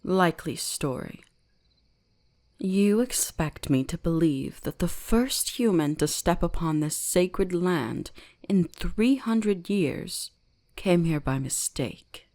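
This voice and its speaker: A woman voicing a commanding, centuries-old kitsune shrine guardian in an ASMR roleplay, speaking slowly and deliberately with a teasing gentleness. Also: on the low side, lively intonation. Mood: cold, suspicious. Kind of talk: scripted ASMR roleplay addressed to the listener.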